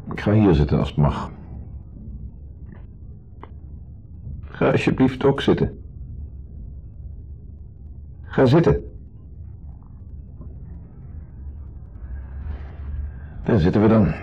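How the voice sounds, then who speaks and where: An actor playing an insecure, jealous husband in a 1970s radio drama, mock-polite and sarcastic in a clipped way. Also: male voice, sits low, mellow. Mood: menacing politeness. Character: insecure, jealous husband, needling host masking hostility. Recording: muffled 1970s radio recording, music behind.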